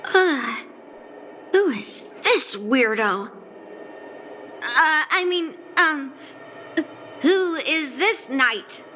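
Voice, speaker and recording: High-voiced, unhurried young swordswoman. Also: lively intonation, bright, forceful tone, a little hiss or hum, full character voice. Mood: taken aback. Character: blunt young sword-loving girl swordmaster. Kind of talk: scripted game dialogue acting.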